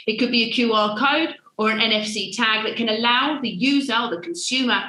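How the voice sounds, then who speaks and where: A woman's voice: fairly high pitch, pushed and bright, echoey room, rough recording. A woman hosting a panel webinar, introducing guests with upbeat enthusiasm. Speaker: webinar host.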